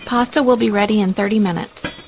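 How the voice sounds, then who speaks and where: An actress in an audio drama playing a dutiful cook who serves a gang boss's household. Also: female voice, mid-range voice, steady tone, a little fast, creaky, background noise, lightly acted.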